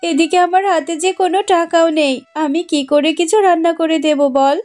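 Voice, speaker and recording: A woman's voice: extremely high pitch, fairly even intonation, brisk, a little mellow. A woman voicing a devoted, worried fox wife and mother in a cartoon story, with plaintive, homely, emphatic speech. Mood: exasperated. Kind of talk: dramatised Bangla cartoon story.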